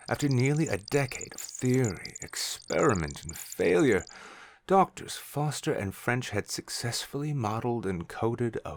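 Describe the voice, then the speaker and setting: A man narrating a scripted story in the third person. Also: on the low side.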